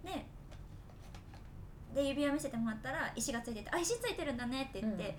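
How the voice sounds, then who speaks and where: A woman's voice: high-pitched, animated, fast, slight background noise. A woman co-hosting a podcast, telling anecdotes in casual conversation with friends. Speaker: podcast co-host.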